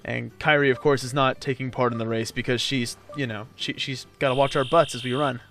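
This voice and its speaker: A man's voice: medium pitch, steady tone, a little fast, over music. A man hosting a let's play, giving casual, joking gameplay commentary to a co-commentator. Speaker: host of a video game let's play.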